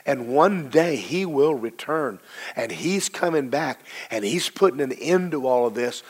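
A hoarse, low-voiced middle-aged man preaches from the pulpit, leaning hard on key words and stretching them out slowly. His pitch sits in an ordinary male middle range, but the hoarseness roughens every line. He swings between rising emphasis and quieter asides. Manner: emphatic preaching with slow drawn-out stresses. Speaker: pastor. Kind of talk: sermon.

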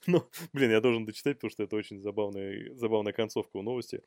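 A man's voice: on the low side, fast talker, darkish tone, no room sound, clean studio sound. A man co-hosting a news commentary show, reading stories in an informal, amused way.

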